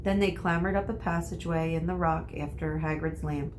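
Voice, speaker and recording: A woman teacher reading a children's novel aloud in a deliberate, explanatory way. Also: deep voice, fairly even intonation, a little mellow, slight background noise. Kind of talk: storybook read-aloud.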